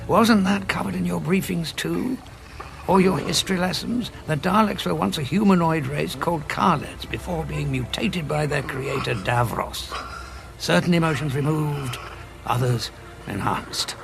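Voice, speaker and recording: Gravelly, grave investigator. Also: male voice, fairly high pitch, unhurried, background noise. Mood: grave, compassionate. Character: inquisitive, grave, compassionate male doctor adventurer. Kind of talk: sci-fi audio drama acting.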